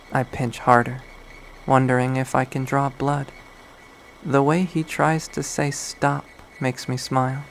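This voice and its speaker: A man reading a visual novel aloud as dramatic first-person narration, in a measured way. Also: mid-range voice, rough recording. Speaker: game player reading aloud. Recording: faint background noise.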